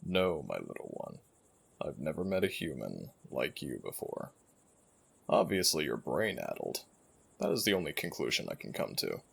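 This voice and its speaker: A man voicing an ancient jackal-headed god in an ASMR roleplay, gravelly and husky, speaking in stately, affectionate, gently teasing phrases to one listener. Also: extremely low pitch, sing-song, moderate pace, exaggerated voice. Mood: calm, instructing. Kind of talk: ASMR roleplay audio drama.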